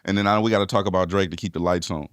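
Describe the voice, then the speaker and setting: A man co-hosting a podcast with a deep voice, telling playful, animated stories and acting out scenes. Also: very fast, clear, resonant tone, no room sound. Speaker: podcast co-host, DJ. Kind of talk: casual chat.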